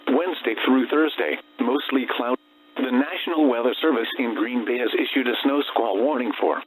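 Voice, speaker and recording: A synthetic man's voice reads automated weather warnings as a radio announcer, with flat, formal, segmented delivery. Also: medium pitch, slightly rough. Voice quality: synthetic text-to-speech voice. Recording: noisy, humming weather radio broadcast.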